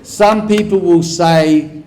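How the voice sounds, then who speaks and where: A man with a New Zealand accent lectures slowly to a hall audience, his voice sitting a little high and ringing off the room's echoey walls. He speaks in rhetorical runs of repeated phrases and keeps quizzing the listeners directly. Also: a little mellow, a little hiss or hum. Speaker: guest lecturer. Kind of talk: conference lecture with audience questions.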